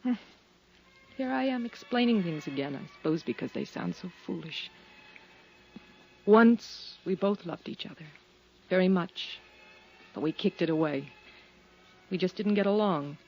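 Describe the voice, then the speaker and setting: An actress playing a wistful, confiding, lonely widow in 1950s radio drama, genteel and hesitant. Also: female voice, fairly low pitch, animated, measured pace, gravelly, pauses often, darkish tone. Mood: regretful. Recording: muffled old radio recording with music.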